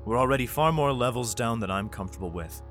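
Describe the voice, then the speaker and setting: A man's voice: mid-range voice, expressive, quick pace, close to an everyday voice. A man acting a worried, protective father who narrates his own story in a sci-fi audio drama. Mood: brooding, weary. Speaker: narrator and lead actor. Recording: loud music under the voice. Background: dramatic music.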